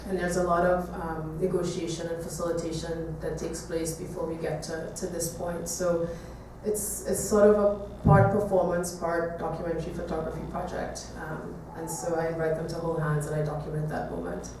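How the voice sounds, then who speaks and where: A woman from Trinidad giving an artist talk to an audience, speaking reflectively with hesitant pauses. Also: low-pitched, a little mellow. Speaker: visual artist giving a presentation. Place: echoey room, talk to an audience. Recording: echoey room, distant mic, faint noise.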